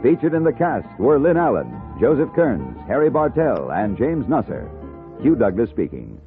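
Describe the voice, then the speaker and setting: A male 1950s radio announcer with a medium-pitched, very animated delivery. He reads scripted copy dramatically and emphatically through a tinny, narrow-band broadcast. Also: moderate pace, music in the background.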